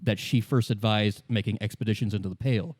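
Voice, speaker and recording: Mellow, low-voiced man narrating game lore. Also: quick pace, no echo, clean studio sound. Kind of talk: improvised lore exposition in a tabletop game.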